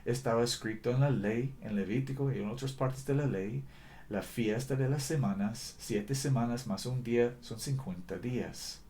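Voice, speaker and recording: A man preaching a sermon in a language that is not his first, earnest and deliberate, often correcting himself mid-sentence. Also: medium pitch, warm tone. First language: not Spanish.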